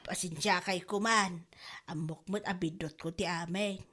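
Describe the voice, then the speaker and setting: A woman's voice: fairly low pitch, fairly even intonation, a little slow, pushed and bright. A woman playing a gossipy mother-in-law who whines and pleads defensively in an amateur comedy audio drama. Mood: apologetic.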